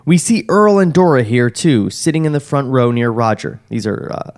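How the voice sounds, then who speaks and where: A man's voice: medium pitch, big pitch swings, normal speed, a little mellow. Man reading stage directions aloud. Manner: reads directions steadily, breaks off into jokes and laughter. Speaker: podcast host reading a script.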